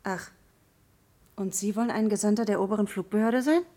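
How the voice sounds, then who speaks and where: A woman's voice: mid-range voice, brisk, dark tone, lightly acted. A woman playing a flight school assistant in a radio drama, clipped, stern and suspicious. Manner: clipped, stern, matter-of-fact. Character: curt, suspicious female flight instructor's assistant.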